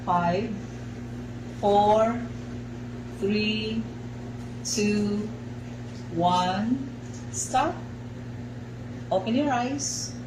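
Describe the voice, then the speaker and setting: A woman with a Filipino accent giving an instructional radio lesson to students, speaking in deliberate short phrases and guiding them with direct instructions. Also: medium pitch, noisy background. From Philippines. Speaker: teacher hosting a school-on-the-air radio lesson.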